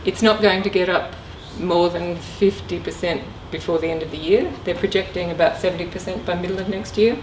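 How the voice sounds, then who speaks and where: A middle-aged woman speaks from some distance in a very echoey room. Her voice is on the low side, and she talks informally, as a guest presenter taking questions. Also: steady tone, brisk, hardly any pauses, darkish tone. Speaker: guest speaker, former air traffic controller. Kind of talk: informal career talk with questions. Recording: echoey room, distant mic, clear noise.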